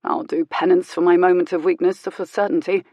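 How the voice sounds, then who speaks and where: A woman voicing a gentle, careful young clergyman with a measured, lightly courteous manner. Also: lowish voice, fairly even intonation, no room sound, highs cut off, close to an everyday voice.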